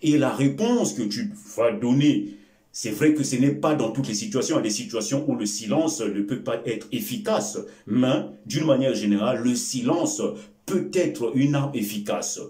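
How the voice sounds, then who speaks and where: Slow, emphatic man in an echoey room. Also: mid-range voice, mellow. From Congo. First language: Bembe. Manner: slow and emphatic with many filler sounds and repetitions; gestures with both hands while speaking. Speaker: life-lesson video host. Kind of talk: motivational talk to camera. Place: small indoor room before a curtain.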